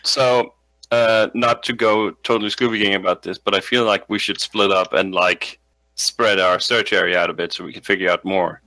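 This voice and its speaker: A man's voice: lowish voice, fairly even intonation, moderate pace, bright, forceful tone, no echo, low-quality recording. A man playing a tabletop game, chatting casually and repeating words hesitantly as he thinks aloud. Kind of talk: casual tabletop roleplay chat.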